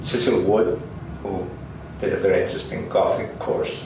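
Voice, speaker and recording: An older man from Finland who grew up speaking Swedish, Finnish and German, recalling his life in an interview with hesitant, halting speech. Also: low-pitched, fairly even intonation. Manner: hesitant, many ums and restarts, chuckles. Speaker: interviewee, linguist. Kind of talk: oral history interview. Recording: roomy, distant, faint hiss.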